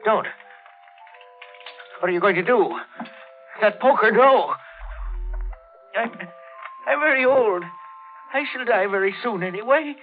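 Slow, fearful old man. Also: high-pitched, animated. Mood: terrified pleading. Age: older. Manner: halting, fearful, brooding monologue. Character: frightened, frail, wary old man. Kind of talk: 1940s radio drama acting. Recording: muffled vintage radio recording, organ music.

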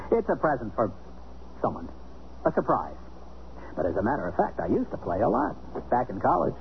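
A man's voice: mid-range voice, huge pitch swings, normal speed, creaky, pauses often. An actor playing a jaunty, flustered American businessman and husband in a 1970s radio drama. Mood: jaunty, distracted. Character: jaunty, flustered businessman and estranged husband, former college athlete. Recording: dull, noisy old radio recording.

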